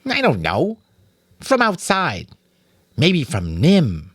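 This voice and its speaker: A man's voice: fairly high pitch, wildly swooping pitch, slow pace, breathy edge, no room sound, studio quality, voice pushed up, full character voice. A man voicing a small young girl rat, childishly earnest, blunt and suspicious, in an audiobook. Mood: doubtful.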